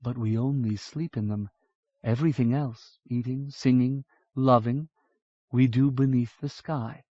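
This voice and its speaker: A man voicing a warm, teasing young mother from the grasslands, who speaks in a soft, low way. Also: steady tone, unhurried, dry recording, lightly acted. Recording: dull lo-fi audiobook recording.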